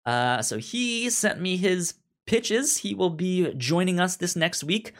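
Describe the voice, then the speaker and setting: A man's voice: high-pitched, animated, slow pace, a bit bright. A man hosting a podcast in casual chat, stuttering as he repeats sounds and syllables. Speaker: podcast host.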